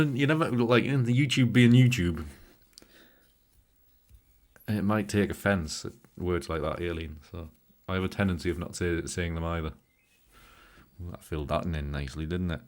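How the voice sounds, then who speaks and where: A middle-aged British man hosting a casual live stream, joking and greeting viewers by name as he edits photos, a bit tired. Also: low-pitched. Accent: British English. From Britain. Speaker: livestream host. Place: home studio room.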